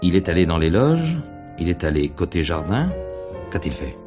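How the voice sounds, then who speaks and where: An actor playing a probing, sly middle-aged police inspector in a radio mystery play, questioning in a hesitant, deliberate way. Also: male voice, sits low, big pitch swings, over music. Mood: probing, wry. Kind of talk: French radio mystery play acting in 1950s manner.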